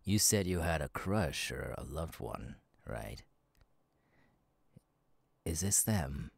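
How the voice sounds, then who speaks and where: A man voicing a sadistic masked killer in an ASMR roleplay, husky and taunting, slow and halting, often dropping to a whisper. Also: very deep voice, big pitch swings, no room sound, full character voice. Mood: gleeful, mocking. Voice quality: husky, gravelly. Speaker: voice actor, ASMR artist. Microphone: close, dry microphone.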